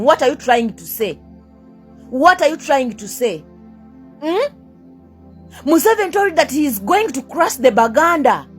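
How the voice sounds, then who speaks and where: A high-voiced young Ugandan woman with a Ugandan accent rants straight to camera. She hammers the same questions again and again, mixes two languages and curses at her critics. Faint music plays underneath. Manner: heated, repeats rhetorical questions, switches between two languages; swears and sneers at critics. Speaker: vlogger. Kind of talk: angry rant to camera.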